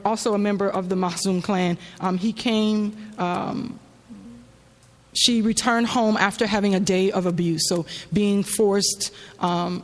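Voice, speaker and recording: A woman giving a semi-prepared religious talk in a halting, reflective way, telling a story with frequent pauses and fillers. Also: medium pitch. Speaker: speaker giving a religious address. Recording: long hall reverb.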